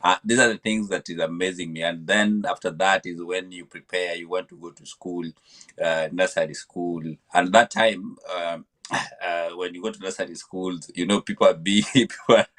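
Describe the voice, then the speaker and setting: A man from Kenya answers interview questions in a very low, deep voice. He speaks hesitantly, with frequent fillers and repeated words as he gathers his thoughts. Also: steady tone, moderate pace, fairly bright tone. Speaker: podcast guest.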